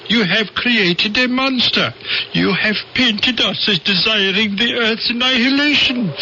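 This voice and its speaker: An actor playing a stern, proud alien visitor in an old-time radio drama, speaking in a formal, pompous way. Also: male voice, high voice, pushed and bright, full character voice. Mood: menacing. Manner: formal, pompous, deliberate speech. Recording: dull, noisy old radio recording.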